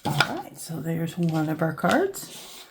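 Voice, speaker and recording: A woman with a scratchy, hoarse voice from a cold, casually talking through a craft project as she works, thinking aloud. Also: low-pitched, slow. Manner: relaxed, thinking aloud while working with her hands. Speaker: craft channel host. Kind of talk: casual crafting tutorial narration.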